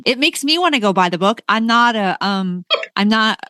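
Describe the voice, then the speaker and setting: A woman's voice: mid-range voice, expressive, moderate pace, pushed and bright, clean tone, dry recording. A woman hosting a casual interview, encouraging her guest with frequent affirmations and informal questions. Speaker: interviewer, podcast host. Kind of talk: casual supportive interview chat.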